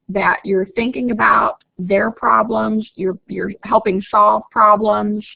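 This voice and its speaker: A woman's voice: mid-range voice, vocal fry, no room sound, phone-quality sound. A woman with an Oklahoma accent giving a chatty live online lecture as a college instructor, reading out and answering student comments. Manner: chatty, reads out student comments, laughs easily.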